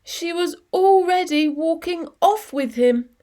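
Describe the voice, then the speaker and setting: A woman reading a children's story expressively, with measured phrasing and dramatic pauses. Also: very high voice, warm tone.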